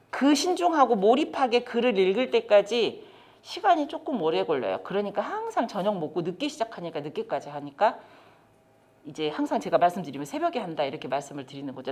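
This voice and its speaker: Woman, middle-aged, lecturer, animated intonation, engaging. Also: lowish voice. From South Korea. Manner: lively, talks straight to the audience with questions. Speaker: lecturer on child development. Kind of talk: training lecture to caregivers. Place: lecture room.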